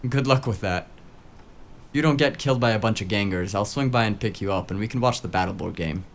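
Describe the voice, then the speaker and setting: An actor playing a cheeky, eager, tech-savvy sidekick in a noir audio drama, with breezy, casual banter. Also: male voice, fairly low pitch, fast talker, slight background noise, lightly acted.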